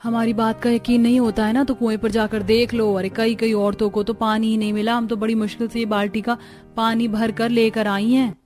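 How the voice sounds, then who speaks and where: A woman playing a young village daughter-in-law in a cartoon story, expressive and chatty. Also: a little high, fairly quick, faint music behind, lightly acted. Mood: plaintive. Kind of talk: Hindi cartoon story dialogue.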